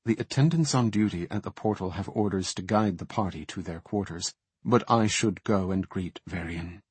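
A man voicing a dignified, composed female night elf high priestess in a fantasy audiobook, in a measured manner. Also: darkish tone, husky, no room sound. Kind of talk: fantasy audiobook character acting.

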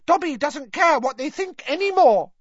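A man's voice: very high voice, expressive, normal speed, no room sound, far from the mic, muffled recording, pitched above the performer's own voice. A man voicing an eager, cheerful male house-elf who talks about himself in the third person. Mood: defiant.

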